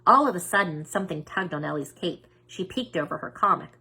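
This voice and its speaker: A woman reading a children's story aloud as narrator, expressive and emphatic. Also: fairly low pitch, a little fast, vocal fry, breathy edge. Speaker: children's book reader.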